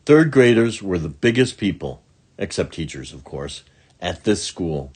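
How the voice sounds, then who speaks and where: A man's voice: low-pitched, very animated, a little slow, a little mellow, breathy edge. A man narrating a children's storybook expressively, with dramatic emphasis on words. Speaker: children's book read-along narrator.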